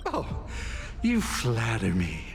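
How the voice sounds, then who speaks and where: Shady small-time game peddler, mid-range androgynous pitch, hugely animated, flattering, falsely humble, music behind. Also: slow pace, exaggerated voice. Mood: chummy, sly. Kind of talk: video game voice acting.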